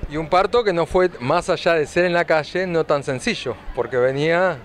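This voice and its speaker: Brisk Argentine male street reporter. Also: fairly high pitch. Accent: Argentine Spanish. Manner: brisk, leading questions. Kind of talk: news interview questions. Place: city sidewalk outdoors.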